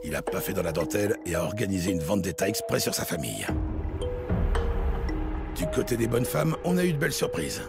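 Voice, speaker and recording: A man playing a hard-boiled, cynical New York police lieutenant in a French audio crime drama, gruff, curt and sardonic. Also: low-pitched, very animated, brisk, pauses often, loud music behind the voice, heavily acted. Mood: sardonic narration. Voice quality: gravelly. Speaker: voice actor in an audio series.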